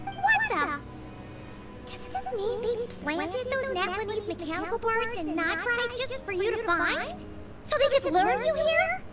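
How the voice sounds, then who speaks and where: An actress playing an exuberant, bold young girl fighter in a video game, with excitable, showy delivery. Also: very high-pitched, measured pace, heavily acted. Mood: startled, puzzled. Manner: excitable, showy battle cries. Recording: echoey game capture under loud music. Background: loud game music.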